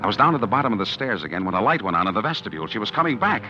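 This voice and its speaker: A man's voice: fairly low pitch, sing-song, rapid-fire, pushed and bright, a little hiss or hum, heavily acted. An actor playing a calculating man who narrates his plan to poison his wife in a 1940s radio drama, hard-boiled and confiding, with nervous stammers. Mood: brooding. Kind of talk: first-person narration in 1940s radio acting. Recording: dull 1940s radio recording with music underneath. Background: music.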